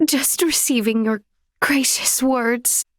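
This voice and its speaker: A woman's voice: a little high, takes it slowly, no echo, clean studio sound. An actress playing a polite, earnest young noblewoman in a fantasy video game, speaking in formal, archaic, courteous phrases. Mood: heartfelt. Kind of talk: video game character voice acting.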